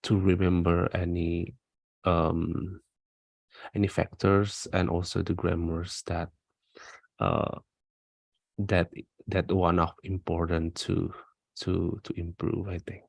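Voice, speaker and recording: A man from Indonesia with a very low, gravelly voice answers test questions slowly and haltingly. His delivery stays flat, and the sound is dry and close on a video call. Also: dry recording. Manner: hesitant, many restarts and fillers. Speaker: IELTS speaking test candidate. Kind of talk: practice speaking test answers.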